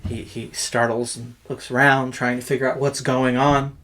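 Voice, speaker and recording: A storyteller host telling a horror tale in a pompous, campy, theatrical way, with dramatic pauses and drawn-out words. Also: mid-range voice. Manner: pompous, campy, self-flattering showmanship.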